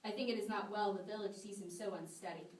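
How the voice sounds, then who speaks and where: A woman's voice: mid-range voice, fairly even intonation, quick pace, very noisy, natural voice. A woman playing a suspicious, uneasy male colonial magistrate in an amateur play, speaking formally and deferentially. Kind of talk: amateur stage-play acting. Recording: echoey room, distant mic.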